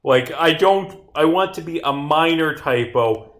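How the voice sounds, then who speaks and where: A man's voice: on the high side, a little slow, fairly bright tone, faint music behind. This is a man streaming a playtest of a game he makes himself. He talks in a casual, unpolished way and reads text aloud. He often trips on a word, corrects himself and swears offhand. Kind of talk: casual livestream playtest, reading dialogue aloud.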